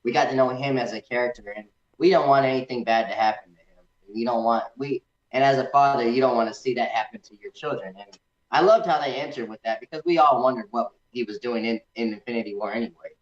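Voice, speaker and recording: A man's voice: medium pitch, quick pace. A man in a casual film discussion, animatedly acting out imagined remarks as he reviews. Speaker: guest co-reviewer on a movie discussion. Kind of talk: casual chat. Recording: echoey room, dull lo-fi sound.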